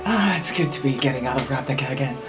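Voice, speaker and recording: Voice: mid-range voice, expressive, quick pace. A tabletop role-playing player improvising in character and describing the action casually. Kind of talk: improvised role-play chat. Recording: clear music behind the voice. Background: background music.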